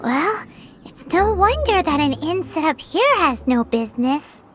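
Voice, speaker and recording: A woman's voice: very high voice, big pitch swings, a little slow, a little hiss or hum, full character voice. A woman voicing a tiny, chirpy, cheeky childlike fairy companion in a video game. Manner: refers to self by name, chirpy and childish. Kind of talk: video game character acting. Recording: game dialogue over loud music.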